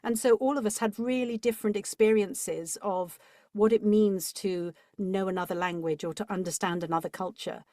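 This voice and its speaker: A woman from England in her fifties, a guest telling reflective stories in an interview, with a thoughtful, curious and expressive manner. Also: medium pitch, moderate pace, no echo, studio quality. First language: Spanish. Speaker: guest, audiobook narrator.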